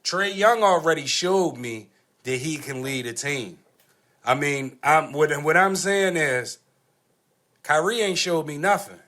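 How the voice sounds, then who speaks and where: A man's voice: fairly high pitch, expressive, measured pace, sharp tone. A man arguing his points insistently in a casual sports debate, often repeating himself as a podcast host.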